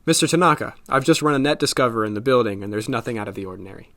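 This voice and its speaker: A man's voice: mid-range voice, animated, racing, fairly bright tone, no echo. A man playing an earnest young hacker turned informant in an audio drama. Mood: businesslike.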